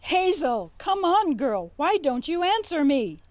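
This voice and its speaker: A woman playing an impatient friend in an audio drama, calling out loudly and insistently. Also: sits high, big pitch swings, takes it slowly, noisy background, full character voice.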